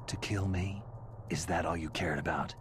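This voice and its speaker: An actor playing a gruff, weary soldier hero in a video game, with a gravelly, hushed voice and a terse, brooding delivery. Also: male voice, low voice, fairly even intonation, moderate pace, background noise, natural voice. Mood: probing. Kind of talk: dramatic video game cutscene voice acting.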